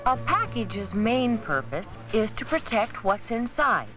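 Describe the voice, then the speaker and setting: Calm woman narrating a 1970s educational film. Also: on the high side. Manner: measured, instructive. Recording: dull 1970s 16mm film soundtrack with music.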